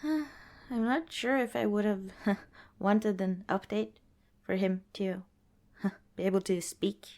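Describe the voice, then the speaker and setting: Slow-talking friendly young wanderer girl played by a woman. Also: medium pitch. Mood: fond, teasing. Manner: hesitant, chuckling, gentle conversational rambling. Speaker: ASMR roleplay performer. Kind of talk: ASMR roleplay, casual one-sided dialogue.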